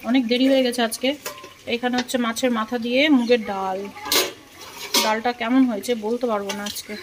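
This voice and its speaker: A woman's voice: fairly high pitch, very noisy. A woman, narrating a casual family vlog and talking directly to her viewers in a conversational way. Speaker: family lifestyle vlogger.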